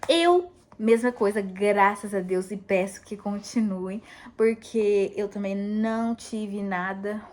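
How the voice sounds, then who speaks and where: A woman's voice: medium pitch, slow, darkish tone. Chatty woman rambling in a casual vlog. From United States. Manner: chatty, rambling, laughs often. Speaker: vlogger. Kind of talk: casual chat.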